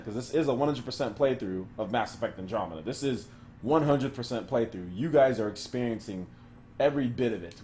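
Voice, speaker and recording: A man's voice: lowish voice, warm tone, slight background noise. A man hosting a live game stream, chatting casually and laughing often. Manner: laid-back, laughs often, talks to chat.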